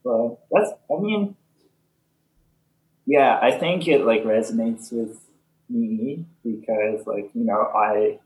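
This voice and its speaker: A young man from Canada, a band member answering interview questions in soft, halting casual talk full of fillers. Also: medium pitch. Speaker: band leader, interview guest. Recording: echoey room.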